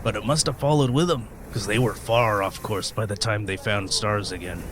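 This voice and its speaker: An actor playing a weathered, gruff old sailor in a radio play, telling sea tales in folksy, hesitant rustic speech. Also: male voice, lowish voice, steady tone, normal speed, background noise, lightly acted. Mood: grave, ominous.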